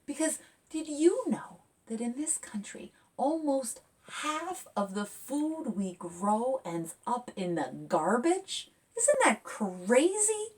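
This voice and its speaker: A woman children's author talking and reading a picture book aloud for young listeners in an expressive, engaging way, as if asking them along. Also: sits high, slow pace, few pauses, breathy edge, recorded from a distance.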